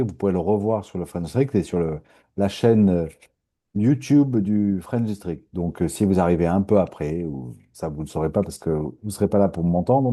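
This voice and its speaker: A man hosting a webinar over a video call, friendly and halting, with fillers. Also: deep voice, dark tone. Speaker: webinar host.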